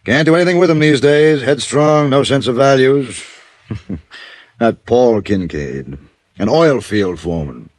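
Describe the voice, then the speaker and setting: A man's voice: mid-range voice, lively intonation, measured pace, no room sound, natural voice. Man acting middle-aged tycoon father, commanding, condescending, courteous, dry sound, 1950s radio drama. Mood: scornful amusement. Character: stern wealthy businessman father, courteous but snobbish.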